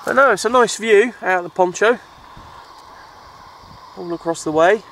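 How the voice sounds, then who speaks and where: A man's voice: high-pitched, moderate pace, a bit bright. British man in noisy outdoor recording. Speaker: CB radio hobbyist vlogger. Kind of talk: casual outdoor vlog chat. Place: outdoors at a windy makeshift shelter.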